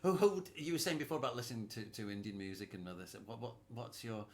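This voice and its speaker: A man's voice: mid-range voice, big pitch swings, fast talker. A man hosting a casual music chat, hesitant and repeating words, laughing often. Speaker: host.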